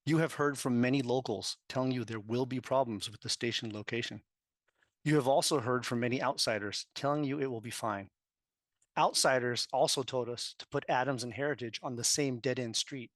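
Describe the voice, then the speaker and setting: Measured man reading a prepared comment. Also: mid-range voice, dry recording. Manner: measured and persuasive. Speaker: public commenter.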